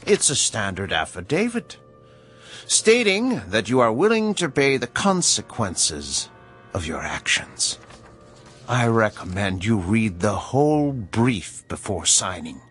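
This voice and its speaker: A man's voice: lowish voice, sing-song, slow, a bit bright, faint music behind, full character voice. A man acting in a radio drama as a mysterious, unflappable agent of balance, formal and politely ominous. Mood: coolly polite. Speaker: actor.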